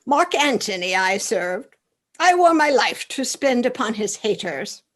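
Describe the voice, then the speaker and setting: Woman playing a slow-spoken, solemn soldier. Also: on the high side, very animated, a bit bright. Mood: grave. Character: Dercetes, solemn loyal male soldier bearing grim news. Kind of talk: Shakespearean radio play acting.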